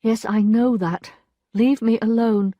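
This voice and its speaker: A woman's voice: on the high side, steady tone, slow, dark tone, no room sound, voice pushed up. A woman reading an audiobook, voicing a proud young wife in distress, bitter and pleading. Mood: weary. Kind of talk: audiobook character voice.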